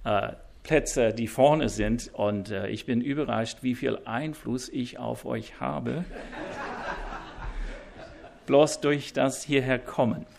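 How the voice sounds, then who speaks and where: Guest preacher, male, English-speaking accent, slow and gravelly, stumbling through fillers with a self-deprecating wit. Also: mid-range voice, darkish tone, a little hiss or hum. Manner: halting, many fillers and restarts. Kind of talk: sermon introduction. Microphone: wireless mic.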